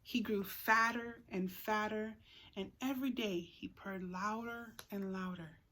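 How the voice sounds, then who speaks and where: A woman teacher reading a picture book aloud to young children, expressively, pausing to put questions to the listeners. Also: mid-range voice, steady tone, bright, forceful tone, slight background noise. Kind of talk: read-aloud story time for young children.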